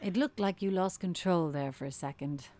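A woman's voice: lowish voice, moderate pace, dark tone, natural voice. A woman acting in a darkly comic radio drama as a wry, politely evasive colleague with a blithe, arch manner. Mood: wry, tactful.

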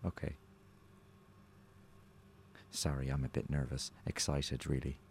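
A man's voice: rumbling low, monotone, unhurried, creaky, darkish tone, slight background noise, full character voice. A man playing an awkward, nervous radio contestant who rambles hesitantly in an audio drama. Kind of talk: naturalistic audio drama acting.

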